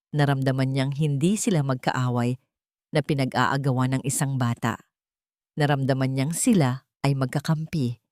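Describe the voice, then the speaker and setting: A woman's voice: low voice, a little mellow, dry recording, clean studio sound. A woman reading a scripted romantic story as its narrator.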